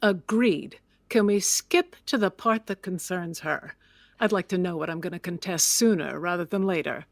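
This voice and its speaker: A haughty, indignant widow. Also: female voice, on the low side, moderate pace, dry recording. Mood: cold, impatient. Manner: sharp-tongued, imperious, clipped retorts. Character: haughty, indignant, bitter widow of a town founder. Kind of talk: period audio drama acting.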